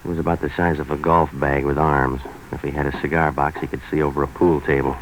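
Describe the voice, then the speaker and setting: An actor playing a tough, cynical waterfront fixer, deadpan and terse, in 1940s hardboiled radio drama. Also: male voice, very deep voice, fast talker. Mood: wry, world-weary. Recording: noisy vintage 1940s radio broadcast.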